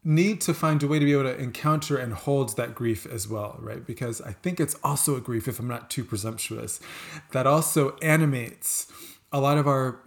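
A man's voice: medium pitch, moderate pace, creaky. Reflective academic male interviewer. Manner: reflective, academic, hedging with tag questions. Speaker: interviewer, moderator. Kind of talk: thoughtful discussion question.

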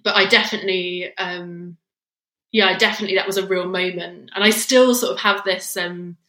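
A woman's voice: on the low side, steady tone, a little fast, bright, forceful tone. A young woman talking as a guest in a casual interview, reflective and meandering, a little self-deprecating. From England, near Luton. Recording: roomy echoey recording.

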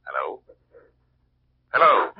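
Gruff police sergeant, vintage radio; husky bass, businesslike, dry dull sound. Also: male voice, sing-song, slow, no echo, heavily acted. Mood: businesslike, puzzled. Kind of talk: 1940s radio acting. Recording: muffled 1940s radio recording.

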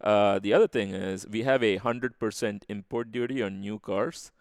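A man with an Indian accent talks casually as an interview guest. His voice sits low with a fry to it, and the sound is close and dry. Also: fairly even intonation, moderate pace, no echo. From Chennai, India. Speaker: podcast guest.

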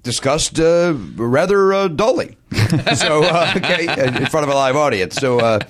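A man hosting a casual comedy podcast, hoarse and raspy from a sore throat, bantering playfully and mocking himself. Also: on the high side, expressive, a little slow, a bit bright. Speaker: podcast host.

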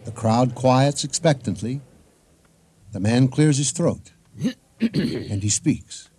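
A man's voice: mid-range voice, expressive, slow pace, mellow, husky, heavily acted. A man narrating a radio drama, solemnly setting the scene of a ceremony.

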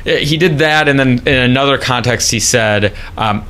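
A man's voice: medium pitch, normal speed, a bit bright, clean tone. A man on a city council, talking in a casual conversation, earnest and reflective with frequent ums and uhs.

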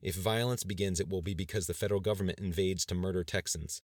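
A man's voice: deep voice, fairly even intonation, fast talker, darkish tone, dry recording, studio quality, close to an everyday voice. A man reading the part of a resolute, pious male governor in an audiobook, with firm, solemn oratory. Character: resolute, pious male state governor rallying his cabinet. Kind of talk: dramatized audiobook dialogue.

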